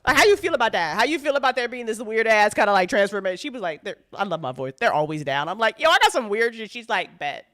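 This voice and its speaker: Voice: mid-range voice, sing-song, quick pace, few pauses, pushed and bright. An audio drama writer chatting casually on a live show, rambling and swearing freely, with slangy, dramatic retellings. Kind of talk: animated casual live chat.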